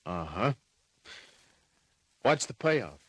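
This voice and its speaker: An actor playing a wry, hard-boiled private detective in an old-time radio drama, laconic, slangy and cynical. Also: male voice, on the low side, sing-song, very slow, a bit bright, slightly rough, dry recording, exaggerated voice. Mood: skeptical.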